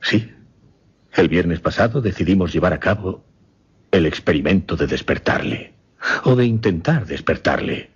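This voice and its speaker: An earnest mesmerist played by a man speaks formally in a low, very breathy voice that moves widely in pitch. It is grave horror radio drama with a dull, muffled sound. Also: expressive, normal speed, exaggerated voice. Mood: grave, defensive. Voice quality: low, very husky. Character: earnest male mesmerist recounting and conducting a grim experiment. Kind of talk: Spanish-language horror radio play acting.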